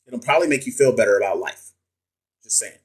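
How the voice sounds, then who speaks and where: A man's voice: fairly low pitch, animated, fast talker, husky. A man hosting a casual livestream as a nursing exam coach, answering viewers in loose, joking slang with frequent restarts. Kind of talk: casual livestream chat reading viewer comments.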